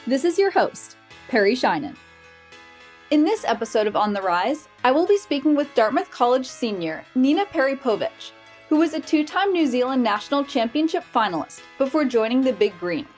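Higher-voiced woman podcast host. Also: big pitch swings, normal speed, darkish tone, soft background music. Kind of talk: scripted intro and interview questions.

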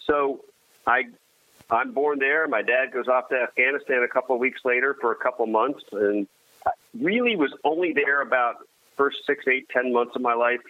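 A man's voice: medium pitch, no room sound. Man on a tinny phone line. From United States. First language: Armenian. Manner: halting, many restarts, self-deprecating humor. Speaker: guest. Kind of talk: casual conversation.

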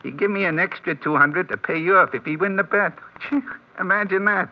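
An actor playing a chummy, streetwise bookmaker with clipped, slangy, amused talk in a 1950s radio drama. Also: male voice, high-pitched, moderate pace. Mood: amused, incredulous. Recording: muffled vintage radio recording.

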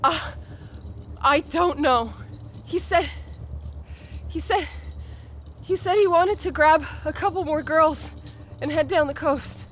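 A shaken survivor in audio fiction, her voice high and anxious. She speaks haltingly and pleadingly over faint background ambience. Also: a little hiss or hum. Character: shaken, worried woman freed from an abductor.

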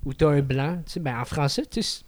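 Hesitant Quebec French man, slightly high voice. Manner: hesitant, fills every pause with "tu sais". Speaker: podcast host. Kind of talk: casual chat.

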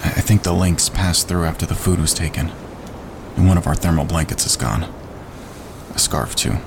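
A man playing a steady, laconic wildlife photographer on a winter camping trip, understated and calm, in a naturalistic audio drama. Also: sits low, normal speed. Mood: concerned. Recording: clear outdoor ambience noise behind.

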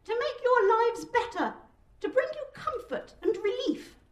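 A woman voicing a proud Roman governor with a weedy, thin voice, shouting haltingly at a mob in a dramatic story reading. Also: very high-pitched, sing-song, reverberant, voice pushed up, exaggerated voice. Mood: strained, placating.

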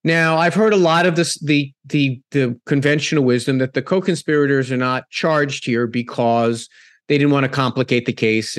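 A man hosting a casual podcast interview, talking in halting bursts and restarting phrases as he thinks aloud. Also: a little high, no echo, studio quality. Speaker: podcast host.

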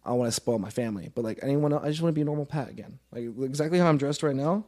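A man's voice: medium pitch, rapid-fire, warm tone. A man in his early twenties talking casually and earnestly as a podcast guest, informal and given to swearing.

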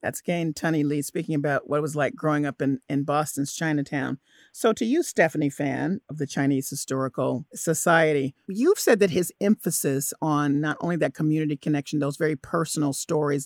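Woman radio host, low creaky voice, interview style, dry studio sound. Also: darkish tone, no room sound.

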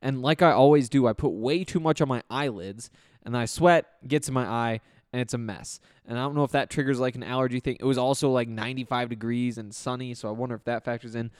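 A man's voice: mid-range voice, quick pace, a little mellow, smooth, no room sound, studio quality. A man hosting a sports podcast in a casual, rambling way, his voice still recovering after losing it. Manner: rambling, conversational. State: recovering from voice loss; allergy flare-up. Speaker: podcast host.

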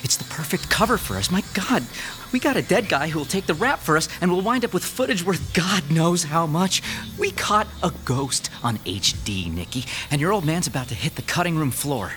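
A man's voice: fairly high pitch, sing-song, normal speed, fairly bright tone, faint music behind. An actor playing a crude, cocky, scheming ghost-hunt cameraman in an audio horror drama.

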